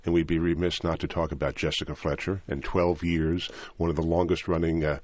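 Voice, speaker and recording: A man's voice: low-pitched, little pitch movement, a little fast, darkish tone, no room sound. A man leading an interview fluently into new topics.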